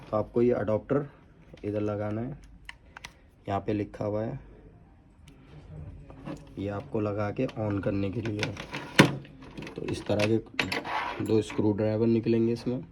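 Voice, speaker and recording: A man's voice: on the low side, flat delivery, a little slow, long pauses, heavy background noise. A man giving an unscripted, step-by-step hands-on installation demo, mixing in English technical terms. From India. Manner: step-by-step explaining with English technical words mixed in. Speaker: product installation demonstrator.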